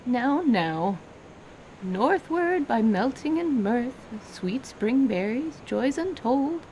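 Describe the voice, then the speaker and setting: Woman voicing a gentle elderly grandmother, pitched up. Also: sing-song, slight background noise, rough recording. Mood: soothing. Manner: doting, gentle, measured. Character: kindly elderly grandmother with a cane, gently stern.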